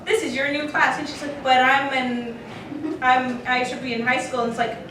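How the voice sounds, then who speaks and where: A woman's voice: sits high, flat delivery, moderate pace. A woman telling stories casually and emphatically as a creator on a convention panel. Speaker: podcast character creator and panelist. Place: convention panel room, recorded from afar. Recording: echoey noisy room, distant microphone.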